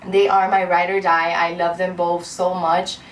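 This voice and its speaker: A woman's voice: lowish voice, flat delivery, a little slow, distant mic. A woman in her twenties from Cuba, chatting candidly to her camera at home in a rambling, heartfelt way. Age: 20s. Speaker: beauty vlogger. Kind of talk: casual chat to camera. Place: room at home. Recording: roomy echo, off-mic, faint hiss.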